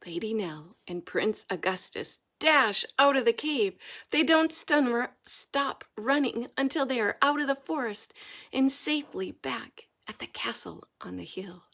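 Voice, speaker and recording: A woman reading a children's story aloud as an expressive narrator, dramatic and deliberate in her delivery. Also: on the high side. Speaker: storybook reader.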